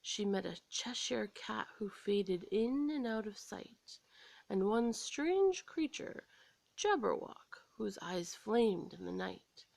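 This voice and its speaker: An American woman storyteller reading a scripted children's story aloud in an expressive, dramatic way. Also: medium pitch, slow pace, vocal fry, no room sound.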